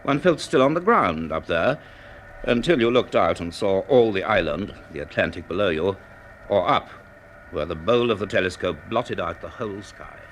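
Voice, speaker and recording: Low-voiced, formal professor. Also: male voice, lively intonation, a bit bright, a little hiss or hum. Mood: grave, descriptive. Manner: formal, measured, literary delivery. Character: reserved, brisk male university professor, drily storytelling. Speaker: narrator and lead character. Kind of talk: BBC radio drama acting and narration.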